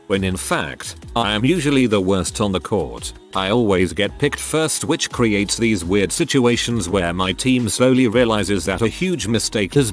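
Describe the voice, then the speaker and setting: A man narrating online posts aloud in an expressive, animated way. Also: fairly low pitch.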